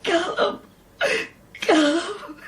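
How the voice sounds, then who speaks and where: Casting: traumatised young woman, piercingly high, slow tearful repetition, echoey radio play. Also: full character voice. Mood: dazed shock. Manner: dazed, repeating herself.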